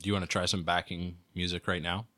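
A man's voice: deep voice, little pitch movement, quick pace, a bit bright. A man co-hosting a podcast, chatting casually with short, wry, laconic remarks. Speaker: podcast co-host. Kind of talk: casual chat.

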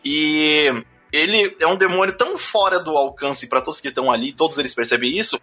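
A man's voice: a little high, fairly quick, bright, forceful tone. A Brazilian man chatting casually as he leads a podcast discussion. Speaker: podcast host leading discussion. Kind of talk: casual chat about manga. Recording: faint music behind the voice. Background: music.

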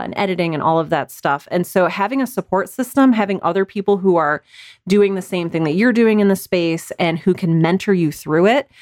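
A woman's voice: fairly low pitch, no echo, clean studio sound. An American woman giving conversational interview answers as a podcast guest, explaining things with enthusiasm and emphasis. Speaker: guest, audio drama podcast creator.

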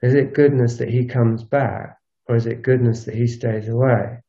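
A man recording a solo spoken audio log on a walk, musing in a halting, unscripted way and circling back over his phrases. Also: medium pitch, steady tone, gravelly, mellow. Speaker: podcast host. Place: outdoors, recorded while walking. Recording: dull lo-fi outdoor recording.

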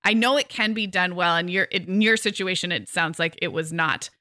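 A woman's voice: on the low side, normal speed, bright, forceful tone, no room sound, clean studio sound. A woman co-hosting a parenting podcast, encouraging and affirming her partner's stories. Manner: encouraging, frequent affirming backchannels. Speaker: podcast co-host. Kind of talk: casual conversational chat.

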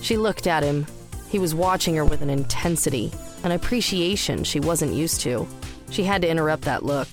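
A woman's voice: low voice, expressive, moderate pace. A woman reading scripted story narration for audio fiction. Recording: roomless dry studio, faint music underneath. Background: faint music.

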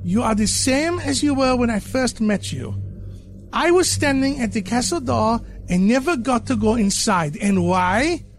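A man voicing a bitter, sarcastic, charred ghost ballerina in a horror story, with a shrill, mocking, scolding manner. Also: expressive, a little slow, soft background music, full character voice. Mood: furious.

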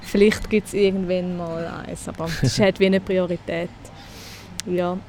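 A woman's voice: lowish voice, little pitch movement, moderate pace, dark tone, slight background noise. Chatty woman with a St. Gallen accent. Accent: St. Gallen Swiss German. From St. Gallen, Switzerland. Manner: chatty, filler-heavy, laughs often. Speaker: guest. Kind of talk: casual conversation.

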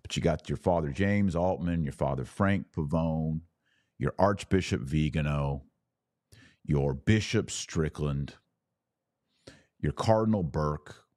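A man hosting a podcast and giving live opinion commentary in deliberate, emphatic short phrases. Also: a bass voice, a little mellow, no echo, clean studio sound.

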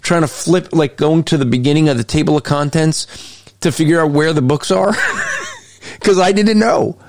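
A man's voice: on the high side, moderate pace, no room sound, studio quality. A man hosting an interview podcast, talking in an earnest, explanatory way. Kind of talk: conversational interview.

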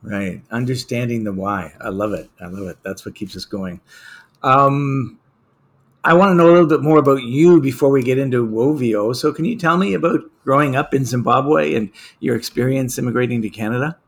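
A man's voice: mid-range voice, normal speed, slightly rough. A man hosting a business podcast, asking questions in a friendly way and sometimes stumbling over his words. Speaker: podcast host, business journalist. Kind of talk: interview hosting with scripted intro.

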